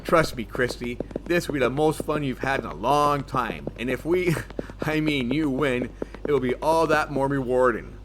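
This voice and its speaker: A man playing a brash, smooth-talking gambler in a comedy audio drama, with a cocky, offhand delivery. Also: medium pitch, normal speed, background noise, lightly acted. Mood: intrigued, charming.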